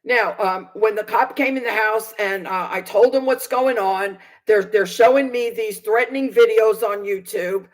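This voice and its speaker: A woman's voice: mid-range voice, normal speed, hardly any pauses, low-quality recording. A woman in her sixties giving personal testimony to viewers in an aggrieved, emphatic manner, repeating phrases for stress. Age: 60s. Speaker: vlogger recounting personal experience.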